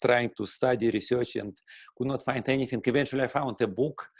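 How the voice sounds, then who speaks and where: Russian-accented man, halting and dry. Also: medium pitch, steady tone, a little mellow, dry recording. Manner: halting, many fillers and restarts, clears throat often. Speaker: guest, breathing teacher. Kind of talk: casual interview answer.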